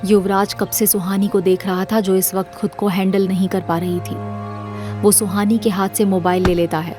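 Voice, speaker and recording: A woman's voice: mid-range voice, little pitch movement, normal speed, music in the background. A woman narrating a scripted audio drama as its storyteller.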